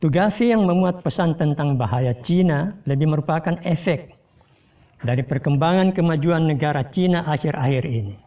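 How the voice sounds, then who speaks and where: Formal man, distant and tinny. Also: on the high side. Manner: measured, formal address to viewers. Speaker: host giving a commentary. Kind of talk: scripted spoken commentary. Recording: distant tinny phone-like sound.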